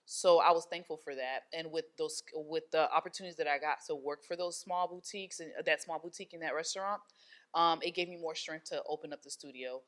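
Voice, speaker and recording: A woman's voice: sits low, fairly quick, a bit bright. A young adult woman from Haiti, a hair studio owner, talking casually in an interview with many fillers and restarts.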